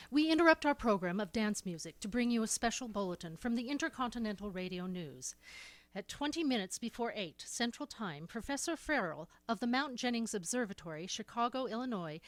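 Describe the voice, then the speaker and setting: Actress as a crisp bulletin announcer, formal delivery, dry close sound. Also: female voice, medium pitch, no echo, lightly acted. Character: formal radio news announcer. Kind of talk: 1930s radio drama acting.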